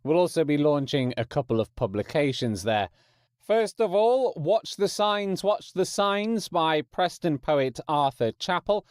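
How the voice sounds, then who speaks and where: A man's voice: fairly high pitch, animated, unhurried, smooth, no echo, clean studio sound. A man hosting a podcast, reading informal promotional announcements with wry, jokey asides. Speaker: podcast host.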